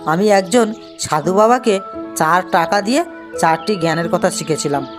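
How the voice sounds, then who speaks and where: A woman's voice: fairly low pitch, moderate pace, natural voice. Woman as simple village man, music behind. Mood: earnest, pleading. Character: simple, earnest village man explaining himself. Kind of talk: Bengali cartoon voice acting.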